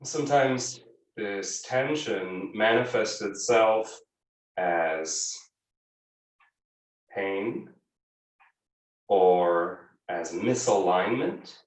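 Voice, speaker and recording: A man teaching a guided yoga class, giving gentle, measured instructions with frequent pauses. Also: lowish voice. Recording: echoey room, distant microphone.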